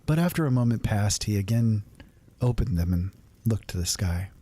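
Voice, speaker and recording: A man with a lowish, mellow voice narrates a fantasy tale in the first person. His telling is calm, unhurried and conversational. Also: breathy edge. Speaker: storyteller.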